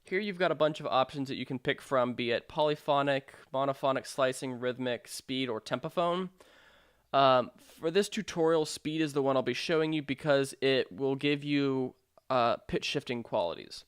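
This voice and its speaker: A man narrating a software tutorial in an informal, explanatory way with hesitant phrasing. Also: mid-range voice, moderate pace, clean tone, no room sound, clean studio sound. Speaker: sound design tutorial presenter.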